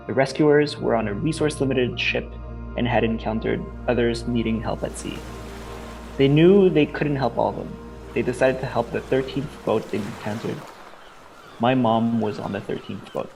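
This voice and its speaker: A man reading a family story in an earnest, measured way. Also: medium pitch, gravelly, darkish tone, faint music behind. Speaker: interviewee.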